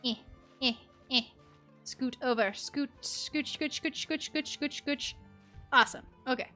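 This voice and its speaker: High, bright-voiced woman streamer. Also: measured pace. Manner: playful, mutters little sound words to self. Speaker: game streamer. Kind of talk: casual livestream gameplay chat. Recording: music playing behind the voice. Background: music.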